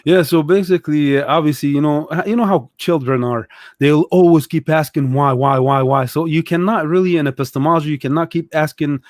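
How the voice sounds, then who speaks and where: A man's voice: a little high, brisk, no room sound, studio quality. A young adult man from Egypt, a guest telling his story in casual conversation, thoughtful and hesitant with many fillers.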